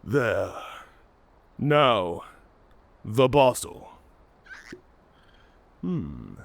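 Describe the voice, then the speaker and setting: A man playing a proud, honor-bound and taunting werewolf warrior in a cinematic audio roleplay, speaking formally with a menacing, raspy growl. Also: mid-range voice, sing-song, no room sound, full character voice. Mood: satisfied. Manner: slow, menacing, theatrical, formal speech.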